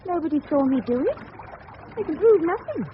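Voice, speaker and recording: Casting: desperate English suburban murderess, high voice, halting melodramatic delivery, noisy vintage radio sound. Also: female voice, big pitch swings. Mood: desperate, pleading. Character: desperate, overwrought married murderess in 1930s suburbia. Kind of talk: radio drama acting. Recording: muffled, noisy old radio recording.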